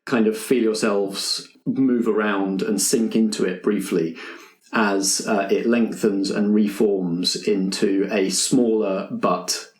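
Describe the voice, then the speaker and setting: A man's voice: lowish voice, fairly even intonation, slow pace, a little mellow. A man running a tabletop roleplaying game as game master, narrating descriptively to the players. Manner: descriptive, second-person storytelling. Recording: roomy, echoey sound.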